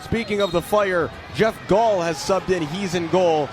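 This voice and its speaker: A man's voice: high voice, measured pace. A man doing live play-by-play soccer commentary on the radio, from an open-air stadium. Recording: noisy outdoor stadium ambience. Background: loud stadium ambience.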